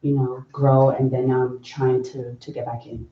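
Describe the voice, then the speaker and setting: A woman's voice: a bass voice, flat delivery, a little slow, mellow. A woman introducing herself at a career workshop, hesitant and full of fillers. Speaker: workshop participant. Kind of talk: self-introduction. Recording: echoey room, distant, dull sound.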